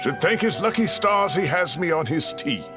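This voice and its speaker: A hearty bragging old scholar, voice swings way up and down, music behind. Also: male voice, a little high, big pitch swings, moderate pace. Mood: boastful. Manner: hearty, self-satisfied. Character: older male scholar, jovial and boastful. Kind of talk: video game cutscene acting. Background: background music.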